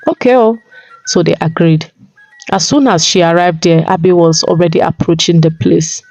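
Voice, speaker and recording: A woman's voice: fairly low pitch, level tone, normal speed, vocal fry, darkish tone, poor audio. A woman storyteller reading a scripted folktale narration. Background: music.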